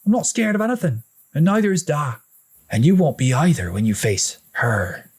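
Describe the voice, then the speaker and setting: A man's voice: a little high, big pitch swings, normal speed, husky, pitched above the performer's own voice. A man voicing a bold, bossy boy who is the older brother in a storybook tale. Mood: defensive, boastful.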